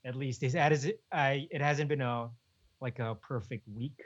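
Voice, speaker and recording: A young man, co-hosting a podcast in relaxed, chuckling casual chat. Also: mid-range voice, moderate pace. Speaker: podcast co-host. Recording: dull lo-fi podcast audio.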